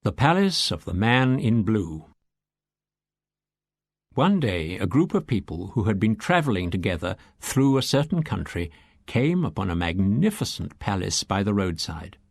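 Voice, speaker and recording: A man storyteller reads a scripted tale expressively. His voice is lowish, yet it rises and falls a great deal. It is recorded dry, with very little room sound. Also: sing-song, unhurried, no room sound. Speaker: audiobook storyteller. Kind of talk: scripted story narration, read aloud.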